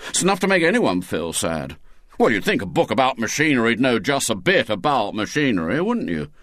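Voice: medium pitch, very animated, brisk, a bit bright, no room sound, pitched above the performer's own voice. A storyteller voicing a grumpy, self-pitying schoolboy who blusters indignantly and stammers when flustered. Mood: aggrieved.

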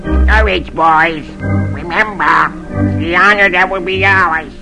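A man doing a weary cartoon duck who groans his words out in a slow, gravelly voice. The delivery is drawn out and pitched high, with music playing behind. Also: steady tone, heavily acted. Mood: groaning. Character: weary determined cartoon duck leader, male.

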